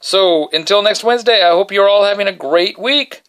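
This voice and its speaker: A man tells a funny, self-deprecating story to his podcast listeners, singing short bits under his breath. He is recording at home in his bedroom. His voice is smooth and clear and sits a little higher than most men's. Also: moderate pace. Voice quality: baritone. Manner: self-deprecating, animated storyteller who sings snippets. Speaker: podcast host. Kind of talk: casual solo podcast storytelling.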